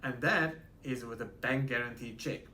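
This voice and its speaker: A man's voice: mid-range voice, moderate pace, pushed and bright, a little hiss or hum. A man from South Africa with a South African accent, confidently explaining home buying in an office interview. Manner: practical, confident, advising with asides. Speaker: real estate sales executive. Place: real estate office.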